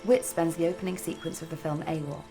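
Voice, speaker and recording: A woman's voice: deep voice, fairly even intonation, quick pace, mellow, faint music behind. A woman reading a scripted video essay as its narrator.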